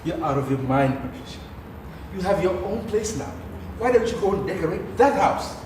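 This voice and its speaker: A man's voice: sits high, normal speed. A man playing an indignant, exasperated middle-aged father and estranged husband in a stage play. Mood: irritated. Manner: indignant, animated. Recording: echoey, very noisy theatre recording.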